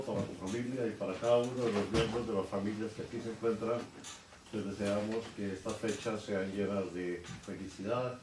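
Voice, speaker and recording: A man leading a church service, welcoming visitors and preaching slowly and earnestly with pauses. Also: fairly low pitch. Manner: slow, earnest, with pauses and fillers. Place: church sanctuary. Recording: echoey church, distant mic, noisy.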